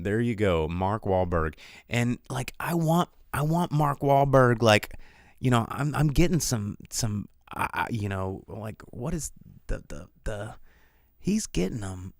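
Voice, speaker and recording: A man in his forties gives loose, joking film commentary as host. His fairly low voice swings widely in pitch, rambling and sarcastic, with a dry, close sound. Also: no echo. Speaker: movie review show host. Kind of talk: casual solo film commentary on a livestream.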